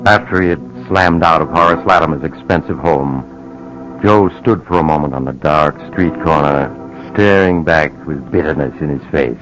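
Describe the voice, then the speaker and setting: A man's voice: a bass voice, normal speed, over music, rough recording. An actor as the ominous male storyteller, narrating a suspense tale for old-time radio. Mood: grave, storytelling.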